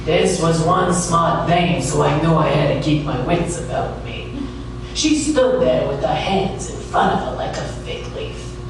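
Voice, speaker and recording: Echoey, deadpan man playing a wisecracking private eye. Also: on the high side, unhurried. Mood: wary storytelling. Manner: deadpan, wry. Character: wisecracking, wary male private detective narrating his case. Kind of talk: hardboiled detective radio comedy acting. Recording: echoey room, distant mic, clear noise.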